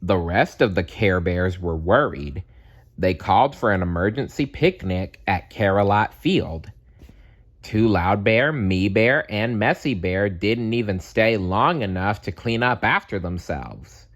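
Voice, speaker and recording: A man narrating a children's story aloud with expressive, deliberate phrasing. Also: fairly low pitch. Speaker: storybook reader.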